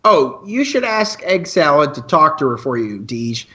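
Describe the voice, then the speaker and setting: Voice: mid-range voice, big pitch swings, moderate pace, pushed and bright, husky, lo-fi sound, heavily acted. An actor playing a wisecracking, teasing teenage student radio co-host in an amateur radio play, with playful mock-formality.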